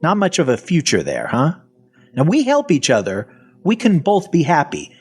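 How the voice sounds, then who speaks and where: Wry, cynical half-zombie survivor played by a man, husky voice, animated swings in pitch, first-person audio drama. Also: normal speed, faint music behind, full character voice. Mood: defensive, sardonic. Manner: sardonic, colloquial. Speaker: voice actor and narrator. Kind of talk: first-person audio fiction narration and dialogue.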